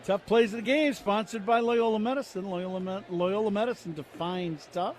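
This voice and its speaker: A man giving color commentary on a baseball radio call, with a high voice. He breaks down the plays in a loose, talky way, restarting his sentences, and faint noise can be heard behind him. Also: moderate pace. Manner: conversational, explaining plays with restarts.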